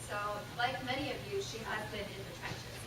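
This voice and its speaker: A woman's voice: medium pitch, normal speed. A woman moderator giving a formal introduction of the next presenter, with the occasional um. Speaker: workshop moderator introducing speakers. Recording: very echoey room, far off, noisy.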